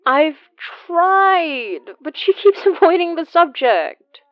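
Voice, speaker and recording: A woman's voice: very high-pitched, very animated, takes it slowly, no echo, lo-fi sound, heavily acted. A woman playing a worried, stubborn young woman who deals with ghosts in a naturalistic audio drama, speaking in an earnest, conversational way. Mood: worried, pressing.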